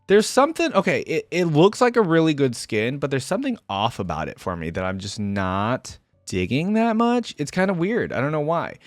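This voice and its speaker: Man, animated intonation, dry recording, casual game reaction commentary, informal, thinks out loud. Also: fairly high pitch, moderate pace, few pauses, no room sound, soft background music. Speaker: reaction video host.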